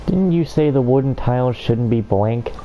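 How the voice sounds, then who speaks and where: A man's voice: mid-range voice, moderate pace, highs cut off. A man giving casual, off-the-cuff commentary while playing through a video game. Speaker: gaming YouTuber. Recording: faint background noise.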